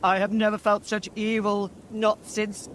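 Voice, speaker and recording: A woman's voice: mid-range voice, little pitch movement, a little slow, a little hiss or hum, natural voice. A woman voicing an ancient blind witch hag, bossy and quarrelsome, in an archaic, theatrical, declaiming manner for a storybook. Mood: urgent.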